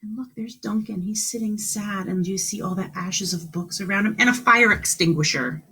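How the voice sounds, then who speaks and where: A woman's voice: mid-range voice, moderate pace, pushed and bright, slightly rough, rough recording. A woman kindergarten teacher reading a storybook aloud to young children, expressively, with exclamations, questions and explanations along the way.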